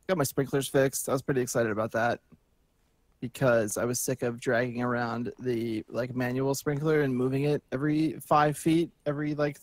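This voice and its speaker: A man's voice: mid-range voice, no room sound. A man co-hosting a talk show, chatting informally and wryly, with some swearing. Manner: informal, sweary, wry complaining. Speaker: co-host of a gaming talk show. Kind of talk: casual chat.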